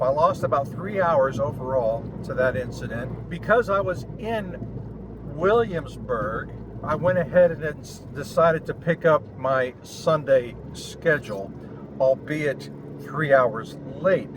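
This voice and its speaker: A man talking casually and explanatorily in a vlog recorded inside a car, in short phrases with pauses. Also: a little high. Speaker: vlogger and amateur radio operator. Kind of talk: casual vlog talk to camera. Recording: clear road and vehicle noise. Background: car and outdoor ambience noise.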